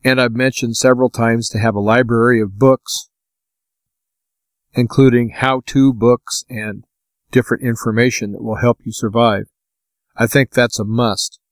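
A man's voice: mid-range voice, steady tone, normal speed, no echo. Man giving plainspoken advice, dry sound. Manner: plainspoken, first-person practical advice. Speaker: podcast host. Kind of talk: informal advice monologue.

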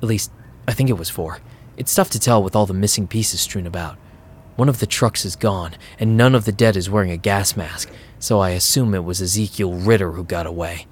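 A man's voice: low-pitched, fairly quick, breathy edge. An actor playing a weary, rattled vampire club DJ who narrates his night in a wry first-person audio drama style. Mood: tense, wry. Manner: wry, sardonic. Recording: music behind the voice.

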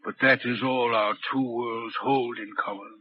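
An actor in a 1940s radio drama playing a lonely, brooding railway signalman who speaks in a grave, deliberate, halting and courteous way. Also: medium pitch, fairly even intonation, close to an everyday voice. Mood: brooding, solemn. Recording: dull, noisy 1940s radio recording.

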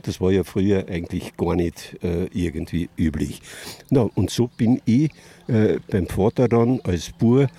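Older male guest, Austrian accent, low husky voice, halting, word-searching delivery, reminiscing. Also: warm tone. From Austria (Carinthia). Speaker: interview guest, boat rental operator. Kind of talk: reminiscing in an interview. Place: lakeshore, outdoors.

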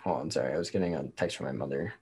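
A man's voice: very deep voice, racing, darkish tone, husky. A man chatting casually as a livestream guest, informal and rambling in how he talks. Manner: informal, rambling, frequent 'like'. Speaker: livestream guest, performer and tarot reader. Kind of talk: casual chat.

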